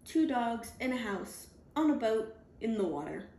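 A woman reading a children's picture book aloud, deliberately, in clearly spaced phrases for young children, recorded indoors at home. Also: fairly high pitch, sing-song, dark tone. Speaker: storybook reader. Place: indoors at home, on a leather couch. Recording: roomy home recording with background noise.

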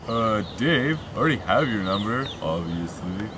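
A man's voice: fairly low pitch, lively intonation, moderate pace. A man in his twenties acting out a casual, slangy phone chat in a comedy sketch, with exaggerated, teasing delivery. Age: 20s. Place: outdoors on steps by a sports field. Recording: outdoor camera audio, birds, ambient noise.

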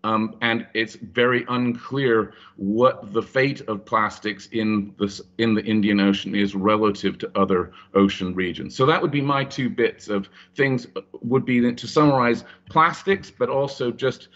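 A man speaking on a panel over a video call, halting with many restarts and fillers. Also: on the low side, a bit bright. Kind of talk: panel discussion. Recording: muffled video call audio.

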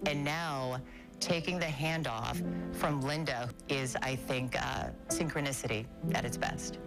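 A woman's voice: very deep voice, a little slow, over music. A middle-aged woman from Taiwan, anchoring the news in a TV studio with a conversational delivery. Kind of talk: broadcast news presenting.

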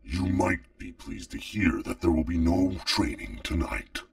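A man playing a stern, menacing male dragon father in an audio drama, with a gravelly growl and cold, contemptuous delivery. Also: low-pitched, steady tone, no echo, clean studio sound, far from the mic. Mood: cold, commanding. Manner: gratingly slow, cold, contemptuous.

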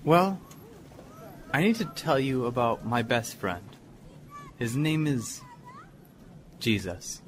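A man's voice: mid-range voice, very animated, slow pace, pauses often, noisy background. A young man playing a nervous, hesitant son in an audio drama.

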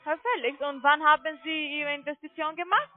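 A woman with a foreign accent in halting German, working as a call centre agent and insistently questioning a customer. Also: high-pitched, no room sound. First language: not German. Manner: halting, non-native phrasing, insistent questioning. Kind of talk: scripted cold-call sales pitch over the phone. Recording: tinny narrowband phone line.

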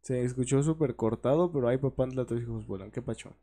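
A man's voice: mid-range voice, fairly quick, warm tone. A young man with a Mexican accent co-hosting a casual livestream chat, joking and slangy. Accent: Mexican Spanish. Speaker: podcast co-host.

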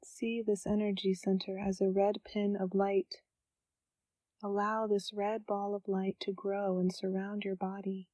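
A woman leading a scripted guided meditation, giving gentle, calm instructions with unhurried pauses. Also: medium pitch, no room sound.

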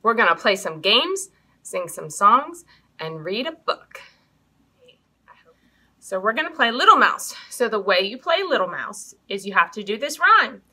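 An American woman, likely in her thirties, hosts children's storytime indoors with an expressive, sing-song delivery, speaking to young children. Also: mid-range voice, a little slow, breathy edge. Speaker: children's library storytime host. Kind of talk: children's storytime, interactive games and picture book reading. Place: small indoor room hung with fabric drapes.

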